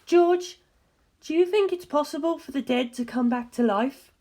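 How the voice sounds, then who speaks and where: A woman voicing an intent, earnest young woman who explains dark legends deliberately and emphatically in an audiobook. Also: sits high. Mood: pensive.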